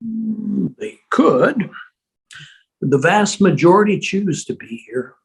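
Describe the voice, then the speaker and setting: An amateur actor playing a genial, self-satisfied asylum doctor in a table read, formal and measured. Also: mid-range voice, animated, vocal fry, poor audio. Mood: smooth, proud. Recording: video call with music underneath. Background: music.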